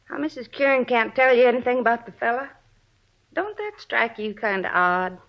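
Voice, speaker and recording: An American actress playing a gossipy, plain-spoken middle-aged married neighbor in a 1950s radio drama. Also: female voice, a little high, lively intonation, normal speed. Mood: gossipy, suspicious. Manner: folksy, colloquial, gossipy. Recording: dull vintage radio recording.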